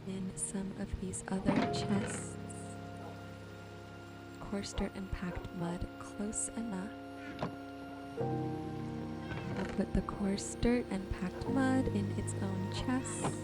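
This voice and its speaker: A woman gently narrating her gameplay as a sleep ASMR host, soothing and unhurried. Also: medium pitch. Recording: music behind the voice. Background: soft music, game rain and animal sounds.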